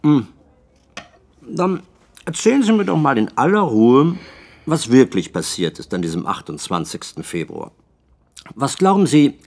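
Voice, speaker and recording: An actor playing a dry, insistent police detective questioning suspects in a naturalistic radio drama. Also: male voice, medium pitch. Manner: pointed, controlled, sardonic. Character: probing, dryly insistent male police detective interrogating suspects.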